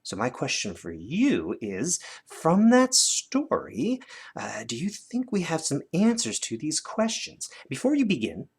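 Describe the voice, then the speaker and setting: Animated, husky North American male teacher. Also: on the high side, a little slow. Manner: animated, guiding with questions as if to children. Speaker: teacher presenting a lesson. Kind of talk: conversational instructional demonstration for children. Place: indoors at a desk, hands-on demonstration filmed from above.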